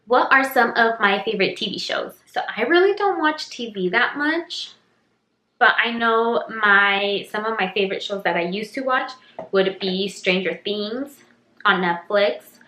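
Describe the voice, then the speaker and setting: A woman's voice: medium pitch, lively intonation, a little slow, bright, forceful tone. A young woman, a native Spanish speaker, chatting casually through a get-to-know-me Q&A, rambling with lots of "like" and "um". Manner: chatty, rambling, heavy use of "like" and "um". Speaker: YouTuber. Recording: echoey room.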